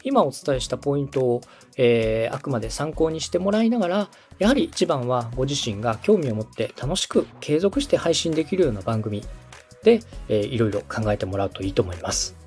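A man's voice: mid-range voice, very animated, a little fast, darkish tone, soft background music. A man hosting and coaching, explaining things step by step in a polite, instructive way. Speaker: podcast host and coach, a radio director. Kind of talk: structured explanatory coaching on podcast production.

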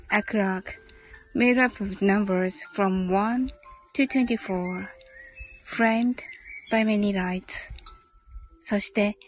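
Woman, slow-paced, over music. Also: mid-range voice, rough recording. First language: Japanese. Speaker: host of an English-learning mindfulness audio show. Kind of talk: bilingual guided meditation, then casual live chat with listeners.

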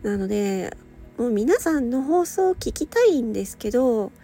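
A woman with a high, lively, swooping voice that has some creak in it. She talks casually and solo to her listeners in a hesitant, meandering, giggly way, tired and a little slurred. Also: moderate pace. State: tired, slightly slurred speech at night. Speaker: audio channel host and hobby voice performer. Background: soft background music or ambience.

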